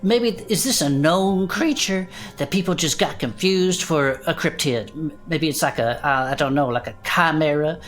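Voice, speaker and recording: A woman acts out a folksy, rough-mannered adventurer in an audio drama. The voice is low-pitched, colloquial and excitable, chatting as a monster-show co-host. Soft music sits behind it. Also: normal speed, hardly any pauses, lightly acted. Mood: folksy, probing.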